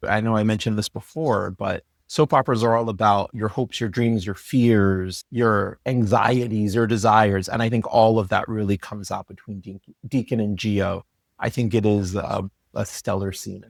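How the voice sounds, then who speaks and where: A man's voice: on the low side, steady tone, normal speed, gravelly, no room sound, studio quality. A man who writes soap opera, explaining things earnestly in a reflective, casual discussion.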